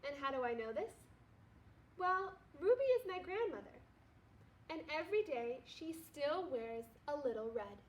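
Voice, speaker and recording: A woman's voice: high voice, expressive, normal speed, dull audio, slight background noise. A woman, a primary school teacher, reading a storybook aloud to young children. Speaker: second grade teacher.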